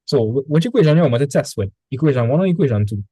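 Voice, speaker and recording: A man with a Trinidadian accent tutoring a student in math over a video call, relaxed and chatty. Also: mid-range voice, very fast, husky, no echo. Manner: relaxed, chatty, repeats words when starting. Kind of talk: casual online tutoring session.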